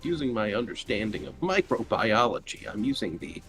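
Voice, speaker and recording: A man's voice: lowish voice, animated, moderate pace, fairly bright tone, faint music behind. A man chatting casually and jokingly as a player in a tabletop roleplaying game.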